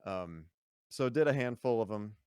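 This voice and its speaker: A man with a low voice, a podcast guest talking casually, rambling with fillers and easy laughs. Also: expressive, moderate pace, a little mellow, clean tone, no echo.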